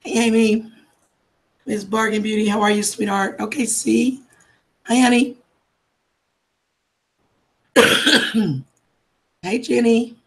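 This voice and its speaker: A woman's voice: fairly high pitch, fairly even intonation, takes it slowly, pauses often, a bit bright. Lady losing her voice, chatty live stream, calls everyone sweetheart. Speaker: livestream host. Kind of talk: casual live chat greeting viewers.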